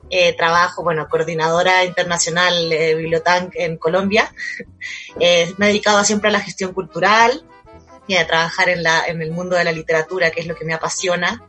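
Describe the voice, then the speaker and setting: A woman's voice: lowish voice, sharp tone. A woman who grew up in Chile, talking as a guest in a casual conversation, thoughtful and full of hesitations and fillers.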